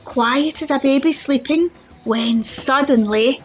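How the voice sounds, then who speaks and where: A children's librarian, reading a picture book aloud with lots of expressive sound words. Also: female voice, sits high, slow. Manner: expressive, sound-effect-filled storytelling. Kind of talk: storybook read-aloud.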